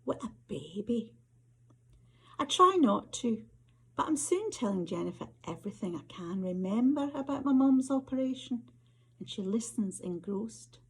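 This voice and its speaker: A woman with a Scottish accent reading short stories aloud as an author, her voice husky. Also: on the high side, animated, a little slow. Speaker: author reading their fiction.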